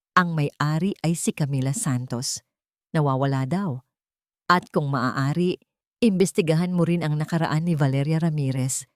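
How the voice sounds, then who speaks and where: A woman's voice: sits low, no room sound, clean studio sound, natural voice. A woman voicing a suspicious, guarded billionaire in an audiobook love drama. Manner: measured, discreet, probing.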